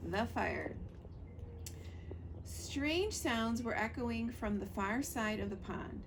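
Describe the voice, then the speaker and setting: A woman narrates a children's storybook in the manner of a school librarian. Her voice has a noticeable creak at a middle pitch. She is recorded on a screened porch with clear background noise. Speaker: school librarian reading aloud.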